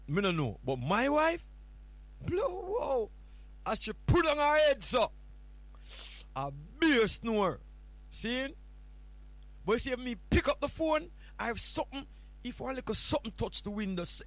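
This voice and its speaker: A man's voice: very high-pitched, very animated, a little slow, long pauses, fairly bright tone, no echo. Playful Jamaican man hosting a morning show. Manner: playful, rambling, teasing. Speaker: stand-in radio show host. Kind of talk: casual morning show chat.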